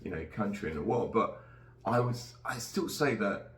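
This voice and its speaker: A man's voice: lowish voice, animated, moderate pace, slight background noise. A middle-aged man from Nigeria hosting a casual podcast conversation, talking earnestly and rambling with many restarts. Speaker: podcast host. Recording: roomy sound, distant from the mic.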